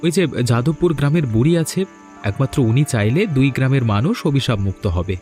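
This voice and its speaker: A man's voice: medium pitch, expressive, fast talker, warm tone, soft background music, lightly acted. An actor playing a weary, troubled village man in a fairy-tale cartoon, speaking in an earnest, lamenting way. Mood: grave.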